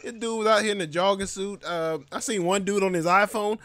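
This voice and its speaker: A man hosting a comedy podcast, riffing in an animated, loud, slangy way. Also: sits high, brisk, no room sound. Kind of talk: joking podcast banter.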